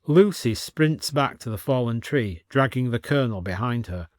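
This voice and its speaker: A man reading a scripted story as an expressive storyteller with dry comic delivery. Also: medium pitch, normal speed, dry recording.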